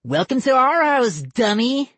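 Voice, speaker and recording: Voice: high voice, sing-song, measured pace, a bit bright, dry recording, voice pushed up, exaggerated voice. A narrator voicing a smug, giggly prankster boy in an audiobook. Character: boastful prankster boy Vinny.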